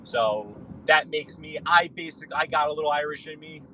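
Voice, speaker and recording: A man tells stories from behind the wheel, circling back and restarting his sentences as he goes. His voice is bright and forceful. The audio is dull and lo-fi, like a livestream feed. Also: a little high, normal speed, slight background noise. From New York. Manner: rambling, storytelling, frequent restarts. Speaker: livestream guest. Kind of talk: casual live podcast chat while driving. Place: in a car.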